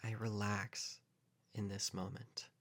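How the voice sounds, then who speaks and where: Low-pitched man guiding a calm meditation. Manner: calm, measured, with long pauses between short phrases. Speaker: meditation guide. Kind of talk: guided meditation narration.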